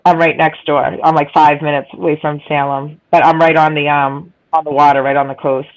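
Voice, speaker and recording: A woman with a raspy voice and a strong Boston accent, chatting casually and laughing a lot. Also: sits low, flat delivery, fairly quick, low-quality recording. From Massachusetts, USA. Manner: chatty, laughs often, repeats herself. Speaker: chat room participant. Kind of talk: casual chat.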